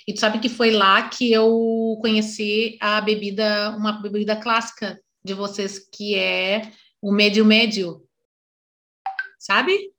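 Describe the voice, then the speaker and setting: A woman's voice: mid-range voice, level tone, slow, fairly bright tone. A woman interviewing in a casual chat, friendly and encouraging, often murmuring agreement. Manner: friendly, encouraging, frequent backchannel "uhum". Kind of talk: casual conversation.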